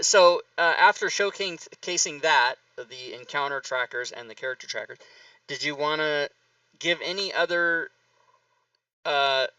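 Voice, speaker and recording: A man's voice: a little high, pushed and bright, no echo, far from the mic, muffled recording. A middle-aged man hosting a livestream, thanking followers by name and passing viewer questions to his guest. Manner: friendly, reads out viewer names and thanks followers. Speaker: host.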